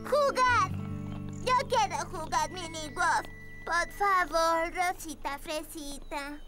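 A woman's voice: extremely high pitch, slow pace. A woman voicing a tiny, eager, pleading childlike character in a dubbed children's cartoon, with music behind.

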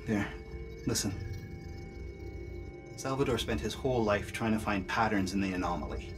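An actor playing an earnest, excitable scientist in a video game, explaining things with urgency. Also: male voice, on the low side, moderate pace, natural voice. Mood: intent. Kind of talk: video game cutscene acting. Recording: music behind the voice. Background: music.

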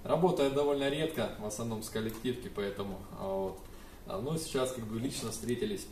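A man's voice: lowish voice, fairly quick, darkish tone. A young man working as an amateur radio operator, making contacts and spelling out call signs. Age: young adult. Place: small indoor room with radio desk and computers. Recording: echoey room, distant mic, faint noise.

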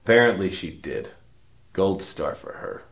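Cocky, sardonic private eye, acted by a man, deep and deadpan, narrating a comic radio noir. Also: a little slow, slight background noise, heavily acted. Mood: wry, smug. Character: cocky, wry hardboiled investigator narrating his case.

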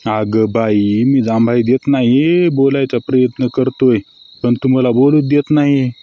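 A man's voice: medium pitch, steady tone, unhurried, lo-fi sound, a little hiss or hum, natural voice. A man playing ordinary put-upon men in comic cartoon skit dialogue. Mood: exasperated.

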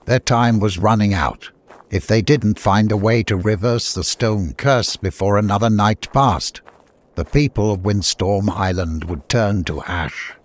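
A man's voice: lowish voice, measured pace. A man reading a scripted adventure story as an audiobook narrator.

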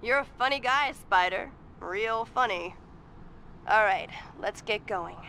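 A woman's voice: mid-range voice, takes it slowly, sharp tone, a little hiss or hum. A woman voicing a flirty, teasing, carefree thrill-seeker in a video game, with a sultry and taunting manner.

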